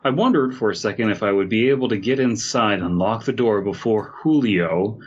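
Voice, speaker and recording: A man's voice: fairly low pitch, brisk, husky. A man co-hosting a comedy podcast, reading a story aloud and drifting into wry joking tangents. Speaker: podcast co-host. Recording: dull lo-fi mic with slight noise.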